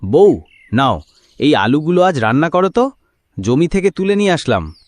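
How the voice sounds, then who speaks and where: A man's voice: a little high, sing-song, normal speed, no echo. A man playing a poor, hopeful, devout village farmer in a cartoon, exclaiming in an earnest, folksy way. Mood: pleased. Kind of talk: Bengali cartoon voice acting.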